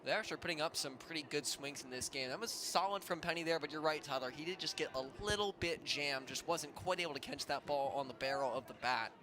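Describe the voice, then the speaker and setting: Male baseball analyst over clear stadium noise. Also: on the high side, fast, few pauses. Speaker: baseball color commentator. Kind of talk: conversational live sports analysis. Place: ballpark, live broadcast. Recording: noisy outdoor stadium ambience.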